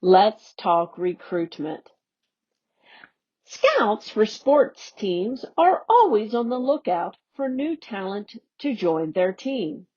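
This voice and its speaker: A woman on an education staff reading a youth newsletter aloud in a slow, expressive storybook manner. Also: mid-range voice, breathy edge. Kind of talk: read-aloud for young learners. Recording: dull lo-fi audio.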